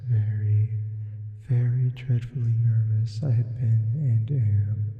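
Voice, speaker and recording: A man voicing an indifferent, menacing sleep paralysis demon in an ASMR roleplay, reading aloud in a hushed, often whispered voice with added reverb. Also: lowish voice. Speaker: ASMR performer. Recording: muffled, long artificial reverb.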